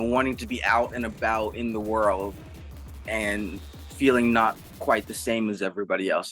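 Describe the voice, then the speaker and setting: A man's voice: on the low side, fairly bright tone. A man conducting a music interview in an informal, enthusiastic way, swearing casually as he goes.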